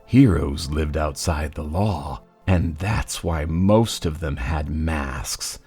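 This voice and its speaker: A man's voice: very deep voice, takes it slowly, warm tone, slightly rough, full character voice. A man voicing a gloating, menacing, talkative supervillain in a comic book dub, with a taunting, condescending drawl. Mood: sly, expository. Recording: faint music behind.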